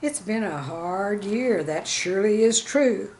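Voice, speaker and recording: Slow-paced woman storyteller, noisy recording. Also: mid-range voice, lively intonation, darkish tone. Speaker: storyteller and channel host. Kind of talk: scripted storytelling and poem reading.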